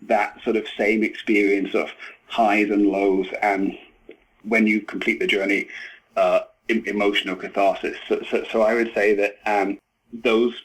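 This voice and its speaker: A man in his forties giving a thoughtful interview, speaking haltingly with repeated words and many verbal hedges. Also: on the low side, level tone, a bit bright, husky, poor audio. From Scotland. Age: 40s. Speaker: interview guest, novelist and screenwriter.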